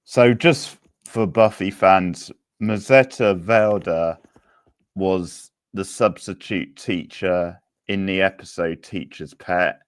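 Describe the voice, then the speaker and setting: A man's voice: low-pitched, takes it slowly, clean tone, dry recording. A man hosting a casual quiz, chatting and answering participants as he reads out questions. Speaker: quiz host.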